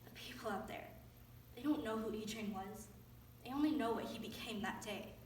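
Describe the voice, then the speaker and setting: A woman's voice: on the high side, moderate pace, a little mellow, natural voice. A woman acting a grieving, solemn mother in an anguished readers theater monologue. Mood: wistful. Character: grieving mother of a school shooter. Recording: echoey room, distant mic, faint noise.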